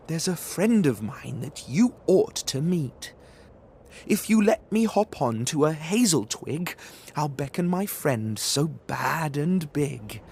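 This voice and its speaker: A man voicing a small, clever male mouse in a storybook reading, urgent and quick-witted. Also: on the high side, lively intonation, vocal fry, a little mellow, a little hiss or hum, pitched above the performer's own voice, exaggerated voice.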